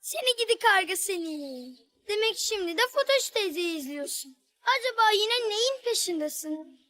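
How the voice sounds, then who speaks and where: A cheerful little cartoon girl with a very high, bright voice. She is curious and expressive, chatting away to her pets, and the sound is dry and close. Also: moderate pace, no echo, heavily acted. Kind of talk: children's cartoon voice acting.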